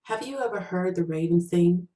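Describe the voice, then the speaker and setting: A woman's voice: lowish voice, normal speed, dark tone, echoey room, lightly acted, low-quality recording. A woman voicing a solemn, weary ex-serviceman, firm and reproachful, in a short-story reading. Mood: hushed, brooding. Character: Danny, solemn, weary man, superstitious ex-serviceman. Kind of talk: short-story audiobook character voice.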